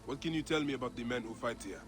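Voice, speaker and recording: An actor playing a steady, curious Egyptian warrior in a video game cutscene. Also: male voice, medium pitch, quick pace, natural voice. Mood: measured, probing. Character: steady, curious Egyptian warrior, a Medjay seeking entry to the arena. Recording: loud background music.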